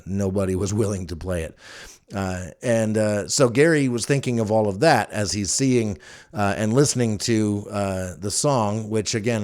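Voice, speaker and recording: A man's voice: fairly low pitch, darkish tone, smooth. A man hosting a podcast on his own, talking casually in a rambling, joking and frank way. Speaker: podcast host. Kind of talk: casual solo podcast talk.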